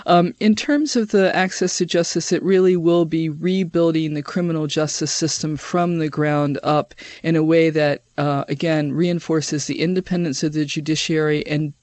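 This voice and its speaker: A man giving measured, emphatic interview answers as a human rights advocate, explaining point by point. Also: fairly high pitch, steady tone, a little mellow, dry recording.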